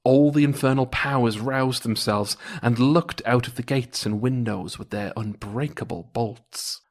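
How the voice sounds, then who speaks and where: A man reading a scripted folk tale as a storyteller, measured and old-fashioned. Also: medium pitch. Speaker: storyteller, podcast host. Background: music.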